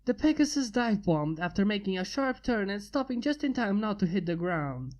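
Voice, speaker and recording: Woman reading fanfic, steady, mid pitch. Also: a little fast. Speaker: fanfic reader. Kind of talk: amateur fanfic narration.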